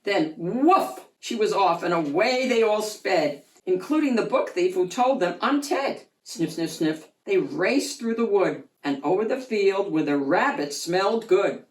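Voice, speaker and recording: A woman reading a rhyming picture book aloud for children, in an expressive, sing-song way. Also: mid-range voice. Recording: roomy echo, distant mic.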